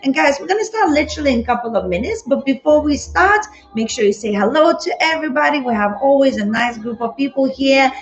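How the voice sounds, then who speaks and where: A woman's voice: sits high, animated, quick pace, hardly any pauses. A woman dance instructor leading a live-streamed class, bubbly and greeting viewers by name, her voice a little worn as she is losing it. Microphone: phone. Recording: roomy, slightly dull sound.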